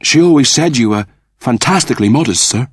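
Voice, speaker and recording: An eager young officer son acted by a male reader, respectful and formal. His pitch swings widely and the voice has a husky edge, as dramatised audiobook dialogue. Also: animated, a little fast, dry recording, studio quality. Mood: awed, eager.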